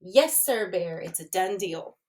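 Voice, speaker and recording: A woman voicing a sly, cheerful male hare in a children's storybook, speaking with bright mock innocence. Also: fairly low pitch, lively intonation, unhurried. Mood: slyly agreeable.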